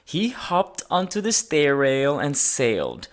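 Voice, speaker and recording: Children's story narrator: woman, low register, unhurried pace, animated and dramatic read-aloud delivery. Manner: expressive, dramatic emphasis, sound-effect words. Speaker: storybook reader.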